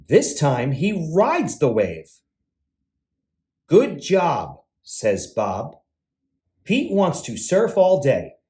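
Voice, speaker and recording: A man hosting a read-aloud for young children, reading a storybook in an expressive, deliberate way with sing-song emphasis. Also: on the high side. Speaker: children's read-aloud host, teacher and entertainer.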